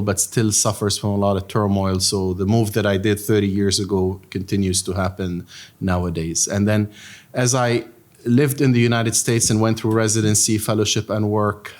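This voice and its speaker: A middle-aged man from Lebanon, a cancer surgeon speaking on a conference panel in a steady, composed way. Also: fairly low pitch.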